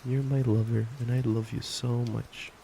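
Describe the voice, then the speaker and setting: Young guy doing cuddly boyfriend asmr, soft dark tone, casual and playful, bit of rain in the background. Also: mid-range voice, moderate pace, vocal fry, low-quality recording. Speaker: ASMR roleplayer playing a boyfriend. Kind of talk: intimate ASMR boyfriend roleplay with bedtime story reading. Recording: steady rain noise. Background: rain sounds.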